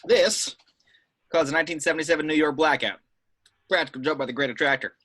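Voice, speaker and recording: A man reading the part of a brisk, dry-witted veteran secret agent in an amateur film script table read. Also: fairly high pitch, bright, forceful tone. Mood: dry, matter-of-fact.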